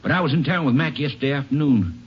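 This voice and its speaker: A male actor playing a humble, folksy farmhand in an old-time radio drama, husky and hesitant, with drawn-out phrasing. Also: mid-range voice, animated. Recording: muffled old radio recording.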